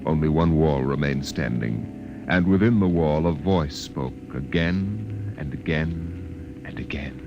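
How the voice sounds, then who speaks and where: A man's voice: a bass voice, lively intonation, measured pace, heavily acted. A man playing a solemn American storyteller, narrating a 1950s science fiction radio drama. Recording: muffled old radio recording, music behind.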